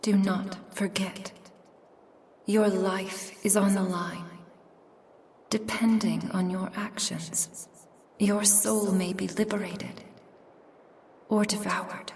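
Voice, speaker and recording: A woman's voice: mid-range voice, fairly even intonation, slow pace, long pauses, a little mellow, long reverb tail, distant mic, slight background noise. A woman playing a cold, commanding otherworldly schemer in a roleplay ASMR, menacing, imperious and formal. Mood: cold, ominous.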